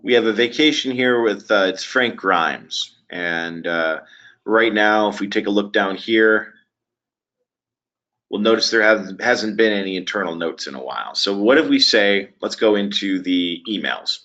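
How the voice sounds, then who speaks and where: A man presenting a software webinar walkthrough in a conversational, halting way with frequent pauses. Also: lowish voice. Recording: dull, lo-fi webinar audio.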